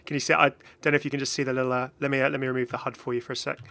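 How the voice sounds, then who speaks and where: A man's voice: mid-range voice, little pitch movement, rapid-fire, creaky, sharp tone, no room sound. A man hosting a gaming video with casual, chatty commentary that drifts into hesitant asides. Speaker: video host, game content creator.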